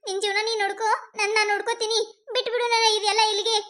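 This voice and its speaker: A woman's voice: very high-pitched, level tone, very fast, sharp tone. A woman with a North Karnataka Kannada accent acting as a distressed young college girl pleading with her boyfriend. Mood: exasperated. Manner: pleading, breaks into teary laughter. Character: young woman college student, anguished, torn over marriage. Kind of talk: amateur skit acting.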